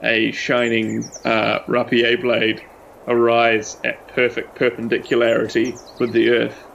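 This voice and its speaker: A man's voice: mid-range voice, monotone, unhurried, creaky, a bit bright, muffled recording, slight background noise. A man with a New Zealand accent chatting and narrating casually as a player in a tabletop game. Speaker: tabletop role-playing player.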